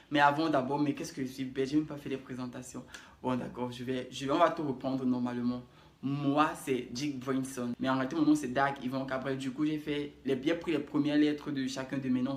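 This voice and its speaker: A young man with a Cameroonian French accent chats casually to camera, introducing himself. He rambles, repeats phrases and corrects himself as he goes. His voice sits at an ordinary pitch and rings in a roomy, echoey space. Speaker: YouTuber. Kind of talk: casual self-introduction vlog. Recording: echoey room.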